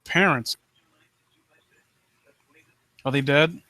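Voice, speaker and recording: A man hosts a casual critique session with a sharp, bright voice that sits slightly high. He is sarcastic and foul-mouthed, slipping between reading aloud and riffing. The sound is close and dry. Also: extremely slow, dry recording. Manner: sarcastic, mocking, sweary. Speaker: host reading and critiquing horror stories live. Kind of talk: casual riffing commentary with read-aloud passages.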